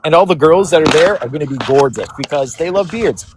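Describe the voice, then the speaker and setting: A man's voice: fairly high pitch, expressive, fast talker, background noise. A man on a casual live-streamed debate panel, telling a long joking story.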